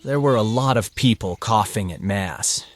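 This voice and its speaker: A man's voice: lowish voice, normal speed, lightly acted. A man playing an earnest, worried young man from a farming family in an audio drama. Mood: guarded, worried.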